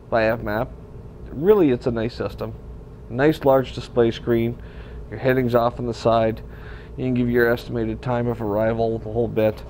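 A man giving hands-on instruction in a workshop, talking viewers through his work in a relaxed, practical way while he works at the bench. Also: medium pitch, a little hiss or hum. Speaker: aircraft builder demonstrating.

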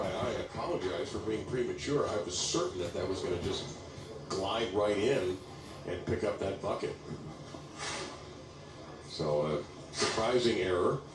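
A middle-aged man with a fairly low voice calls a bowling match in a wry, chatty play-by-play manner. He sounds roomy and a little away from the mic. Music plays in the background. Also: far from the mic, background noise. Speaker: play-by-play commentator. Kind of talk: sports broadcast commentary.

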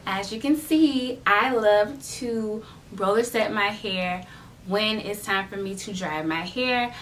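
A woman's voice: mid-range voice, slow, a bit bright, a little hiss or hum. A young woman chatting to camera in a playful vlog, acting out salon moments. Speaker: beauty vlogger. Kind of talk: casual vlog chat to camera. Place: indoors in front of a decorated backdrop wall.

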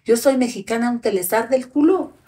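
An elderly woman in her eighties with a somewhat high voice rails away in fiery, profane indignation. She speaks close to a podcast microphone in a small studio. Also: fairly quick, a crowd in the background. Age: 80s. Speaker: podcast guest. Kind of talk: heated interview talk. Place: small studio with brick wall and sofa. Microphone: podcast mic on a stand in front.

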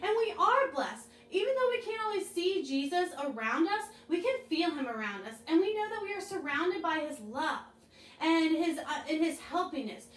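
Animated high-voiced woman teaching children. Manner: bright, animated, talking to children on camera. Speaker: children's chapel leader. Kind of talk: children's devotional and craft lesson. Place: living room, seated on a couch. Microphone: camera a few feet away. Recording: echoey room, mic at a distance.

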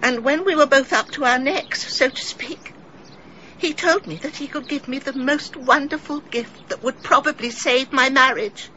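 A woman's voice: sits high, moderate pace, pushed and bright, highs cut off, a little hiss or hum. An actress playing a genteel middle-aged English village woman in a radio drama, confessing in a wistful, melodramatic, theatrical way. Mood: anguished, yearning. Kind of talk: British radio drama acting.

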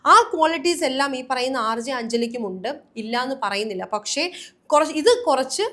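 A woman's voice: sits high, animated, moderate pace, fairly bright tone. A woman hosting a conversational news discussion, wordy and often rephrasing her points.

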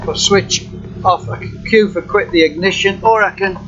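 A man with a slightly high voice talks through a software demonstration informally and without a script. He is matter-of-fact and a little halting. The recording is muffled, with a loud engine hum behind him. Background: running car engine hum.

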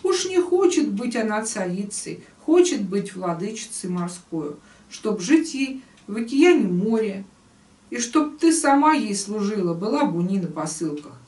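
A woman's voice: mid-range voice, sing-song, a little slow, darkish tone. An older woman voicing a humble old fisherman from a fairy tale, pleading and complaining in verse. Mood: pleading, aggrieved. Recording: roomy echo, distant microphone.

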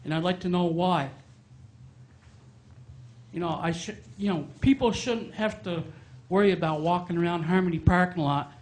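A man's voice: sits high, normal speed. A middle-aged man speaking bluntly into a handheld microphone during public comment at a town meeting, raising complaints. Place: town hall meeting room.

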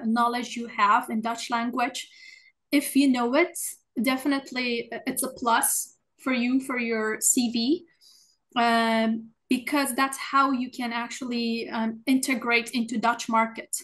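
A woman's voice: on the high side, a bit bright. A woman speaking as an alumna on a webinar panel over a video call, answering earnestly with frequent hesitations. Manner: earnest, hesitant, many uh fillers.

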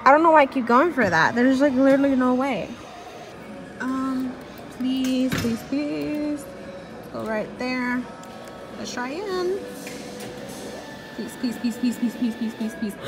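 A young woman vlogging casually in a large indoor mall, chatty and pleading excitedly at a claw machine, recorded on a handheld phone. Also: sits high. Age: young adult. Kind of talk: casual vlog commentary. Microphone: phone camera at arm's length.

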